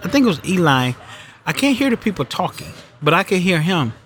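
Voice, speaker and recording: A man's voice: high-pitched, big pitch swings, fairly quick, fairly bright tone. A limousine driver giving a casual account of his work in an interview.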